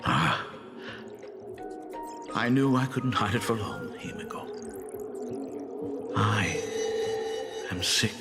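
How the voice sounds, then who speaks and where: An actor playing a gentle, nostalgic middle-aged father and school principal in a video game, speaking tenderly like a storyteller. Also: on the low side, lively intonation, slow pace, long pauses. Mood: grave, hesitant. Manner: tender, wistful, chuckling storyteller. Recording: music under the dialogue.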